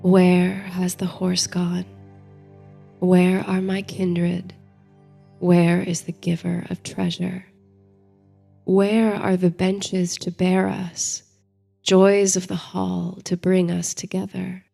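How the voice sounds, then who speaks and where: Slow, creaky-voiced woman telling bedtime stories. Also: fairly low pitch, completely flat delivery. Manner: soothing, measured, dreamy delivery. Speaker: host and narrator of a sleep story series. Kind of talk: bedtime story narration, guided meditation. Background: soft music.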